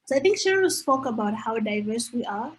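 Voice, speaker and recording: Husky, higher-voiced Zimbabwean woman. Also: expressive, fairly quick. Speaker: graduate student panelist. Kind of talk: informal webinar introduction.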